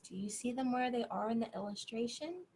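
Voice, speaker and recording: A woman reading a bedtime story to young children, gently encouraging them and pausing to ask questions. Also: fairly high pitch, slightly rough.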